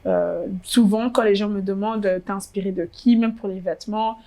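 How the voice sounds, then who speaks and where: A young woman from Congo, in her twenties, talks through her memories in a relaxed interview. She hesitates often, filling pauses and restarting her sentences, in a mid-range voice. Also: mid-range voice, gravelly. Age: 20s. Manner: hesitant, many fillers and restarts. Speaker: interviewee, fashion blogger. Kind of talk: casual interview chat.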